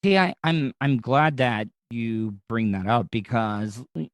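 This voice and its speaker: A man's voice: mid-range voice, takes it slowly, dry recording. An American man hosting a podcast interview, chatting casually in long, rambling asides. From United States. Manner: rambling, talks in looping asides. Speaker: podcast host.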